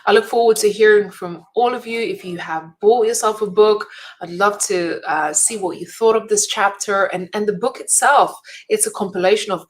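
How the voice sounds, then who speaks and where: A woman's voice: medium pitch, moderate pace, rough recording. A woman giving an earnest, inspirational talk straight to her viewers, a speaker and event host who leans on emphatic repetition. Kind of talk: motivational direct-to-camera talk.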